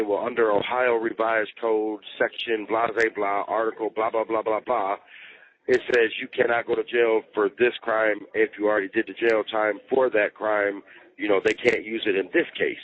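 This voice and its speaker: A man talking casually on a jail phone, explaining things repetitively with slang and swearing. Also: fairly low pitch. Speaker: jail inmate on a phone call. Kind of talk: casual personal phone chat. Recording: tinny jail phone line.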